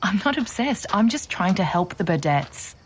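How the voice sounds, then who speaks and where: An actress playing an earnest, pushy young podcaster, insistent and persuasive, in audio drama. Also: female voice, medium pitch, big pitch swings, normal speed, slight background noise, close to an everyday voice. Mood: defensive, earnest. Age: young adult. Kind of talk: naturalistic audio drama acting.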